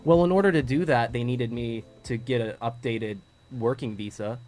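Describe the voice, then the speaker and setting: A man's voice: mid-range voice, moderate pace, darkish tone, soft background music. An American man speaking as a guest in a casual interview, earnest and talkative. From United States. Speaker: interview guest, former TV show performer.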